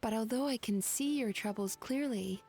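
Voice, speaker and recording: A woman's voice: fairly high pitch, moderate pace, darkish tone. Composed, perceptive young female therapist for a video game: soothing, polite, faint music underneath. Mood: gentle, reassuring. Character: gentle, perceptive young woman psychologist, caring and composed. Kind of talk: anime-style video game voice acting.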